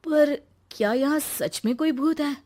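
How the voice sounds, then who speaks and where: A woman's voice: high-pitched, unhurried, dark tone, voice pushed up. A woman voicing a curious, bold young man with questioning, emphatic delivery in cartoon story dialogue. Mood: bold, teasing.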